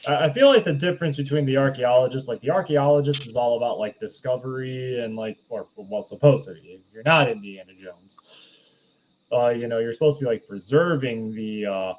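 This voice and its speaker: A man with a medium-pitched voice on a slightly muffled, lo-fi recording. He speaks as a co-host in a casual discussion, explaining his points haltingly. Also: a little hiss or hum. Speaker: podcast co-host. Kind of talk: casual unscripted film discussion. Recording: dull lo-fi voice track.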